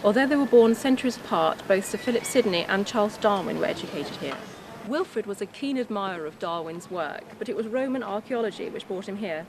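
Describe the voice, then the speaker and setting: Woman narrating outdoors over clear street noise. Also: mid-range voice, lively intonation, a little fast. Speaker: presenter of a local history tour. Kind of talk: scripted narration. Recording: outdoor location sound with clear traffic noise.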